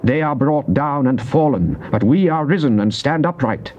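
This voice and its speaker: An actor playing a devout psalmist king, reciting scripture in a solemn, declamatory, deliberate way. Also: medium pitch, animated, a little mellow, close to an everyday voice. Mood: solemn, exalted. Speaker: actor reading Psalms in a dramatized Bible recording. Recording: muffled old cassette tape with faint noise.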